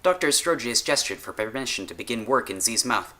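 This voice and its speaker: A man's voice: medium pitch, lively intonation, fairly quick, bright, forceful tone. A man reading scripted narration of a short story as its storyteller. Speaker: storyteller, author.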